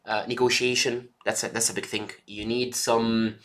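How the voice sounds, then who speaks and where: A man of ordinary pitch gives relaxed, reassuring career advice. He keeps checking understanding with quick "yeah?" and "okay?" as he talks. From Egypt. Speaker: mentor advising trainee doctors. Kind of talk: casual advice chat.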